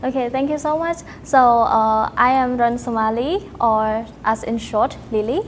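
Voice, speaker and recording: High-voiced young Cambodian woman, halting speech. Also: a little hiss or hum. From Cambodia, Battambang province. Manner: halting, searches for words in non-native phrasing. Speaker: podcast guest, teacher and student. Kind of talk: casual interview answers.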